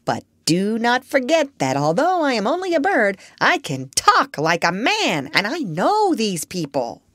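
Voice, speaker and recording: A woman's voice: on the high side, wildly swooping pitch, a little slow, fairly bright tone, no echo, voice pushed up, exaggerated voice. A sly, self-assured female parrot from a children's storybook, played by a woman reader. The voice swings expressively up and down and sounds proud and assertive, in a dry recording. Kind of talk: children's storybook character reading.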